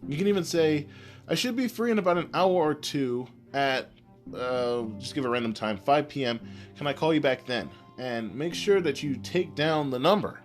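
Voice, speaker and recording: A man's voice: on the high side, moderate pace. Man giving podcast advice over guitar music. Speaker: podcast host. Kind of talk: informal advice podcast, semi-scripted.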